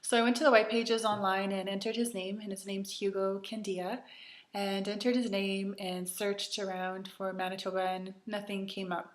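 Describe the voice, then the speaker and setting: A woman's voice: medium pitch, little pitch movement, moderate pace, roomy sound. A woman in her thirties from Canada, chatty and earnest, with many ums, telling her personal story to camera as host of a retreat.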